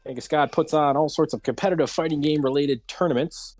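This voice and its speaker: A man's voice: on the high side, brisk, a little mellow, dry recording, soft background music. A man hosting a casual livestreamed round table talk show.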